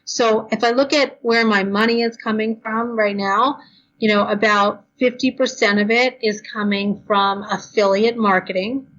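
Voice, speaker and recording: Tired, talkative woman guest; casual, filler-heavy, a little hoarse, muffled remote audio. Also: mid-range voice, fairly even intonation, fairly bright tone. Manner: chatty, fast, lots of ums and you knows. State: tired, losing her voice. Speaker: blogger guest. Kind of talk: podcast interview, casual advice.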